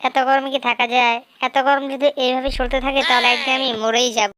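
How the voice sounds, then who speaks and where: A woman's voice: high-pitched, level tone, fast, fairly bright tone, clear, resonant tone, dry recording. A woman voicing a hot, broke, grumbling husband fox in a cartoon. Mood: whining, exasperated. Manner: whining, grumbling. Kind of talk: cartoon character dialogue.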